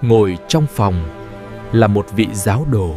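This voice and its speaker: Lively-pitched man narrating a fairy tale. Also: unhurried, faint music behind. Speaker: storyteller.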